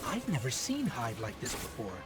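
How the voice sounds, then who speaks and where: A man's voice: medium pitch, wildly swooping pitch, moderate pace, loud music behind the voice. An actor voicing an earnest male fighter companion in a game, questioning and direct. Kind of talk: video game dialogue.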